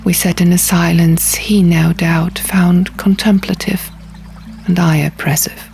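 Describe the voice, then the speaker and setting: A woman's voice: lowish voice, fairly even intonation, a little slow, creaky, a little mellow. A woman reading a dramatic first-person fantasy audiobook as narrator. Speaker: audiobook reader. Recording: music behind the voice.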